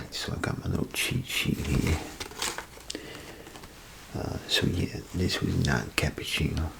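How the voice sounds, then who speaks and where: A man playing a chatty, folksy bathroom attendant in a soft ASMR role play, speaking in a gravelly, breathy half-whisper with rambling, hesitant phrasing. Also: rumbling low, exaggerated voice. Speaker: ASMR performer. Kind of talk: ASMR role play, softly spoken to the listener. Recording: close ASMR recording, clear background noise.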